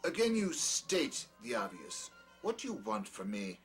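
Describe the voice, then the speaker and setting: A man playing a peculiar, condescending doctor in a drama, speaking in a formal, wordy way, smoothly charming yet scornful. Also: medium pitch, huge pitch swings, measured pace, faint music behind. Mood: condescending, probing. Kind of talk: dramatic dialogue in an audio play or table reading.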